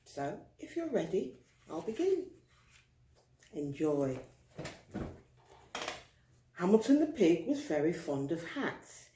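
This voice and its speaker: A woman reading a picture book aloud to children, expressive and deliberate, drawing out words for emphasis. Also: on the low side, slight background noise. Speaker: storyteller. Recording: roomy, echoing indoor sound.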